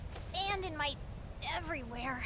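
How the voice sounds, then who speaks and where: A woman's voice: high-pitched, lively intonation, unhurried, sharp tone, full character voice. An actress playing a feisty, indignant young girl fighter in a fantasy video game, bratty and exclamatory. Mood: grumbling, curious. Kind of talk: dubbed fantasy game acting. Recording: game music over the voice.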